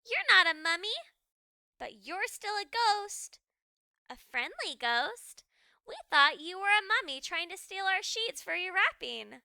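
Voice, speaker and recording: Woman as a bright, exclaiming friendly ghost. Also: very high voice, big pitch swings, unhurried, creaky, no room sound, studio quality, voice pushed up, full character voice. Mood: relieved, joking. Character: friendly, startled ghost family member.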